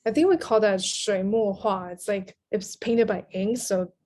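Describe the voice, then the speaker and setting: Mid-pitched woman, explanatory interview guest. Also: fairly even intonation, measured pace, mellow. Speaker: guest, literary translator. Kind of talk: casual interview conversation.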